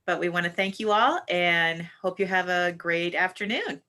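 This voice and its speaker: A woman's voice: lowish voice, moderate pace, pushed and bright. A woman hosting a casual live question-and-answer session over a video call. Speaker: host of a theatre Q and A.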